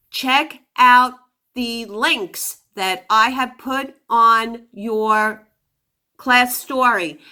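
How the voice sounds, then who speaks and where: A woman teaching a phonics lesson to young children, giving deliberate instructions and sounding out words. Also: on the high side, sharp tone.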